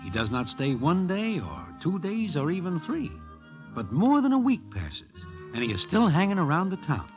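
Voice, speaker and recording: An actor with an American accent as a wry Broadway storyteller, narrating in slangy, oddly formal present tense in old-time radio style. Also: male voice, fairly high pitch, wildly swooping pitch. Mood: wry, knowing. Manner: wry, measured, colloquially formal. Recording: loud music under the voice. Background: music.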